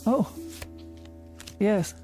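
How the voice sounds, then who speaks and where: An actress playing a frail, anxious, insistent elderly woman in a radio drama, fretful and halting. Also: mellow. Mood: grateful, subdued. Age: older. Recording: radio drama with music underneath.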